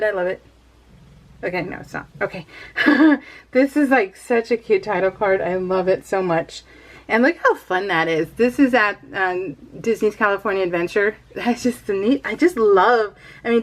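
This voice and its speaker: A woman's voice: mid-range voice, expressive, moderate pace. A woman in her thirties chatting casually while doing a scrapbooking project, rambling and laughing often. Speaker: scrapbooking vlogger. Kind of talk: casual voiced-over craft chat.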